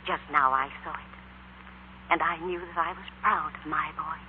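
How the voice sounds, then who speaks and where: An actress playing a gentle, loving middle-aged mother with tender, measured delivery in 1940s radio drama style. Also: low-pitched, fairly even intonation, natural voice. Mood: proud, tender. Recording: tinny, noisy, humming 1940s radio recording.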